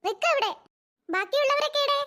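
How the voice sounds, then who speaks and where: A performer voicing a stern, nagging cartoon mother who scolds children, in a pitched-up voice. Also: expressive, quick pace, heavy background noise. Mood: scolding. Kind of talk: cartoon voice acting.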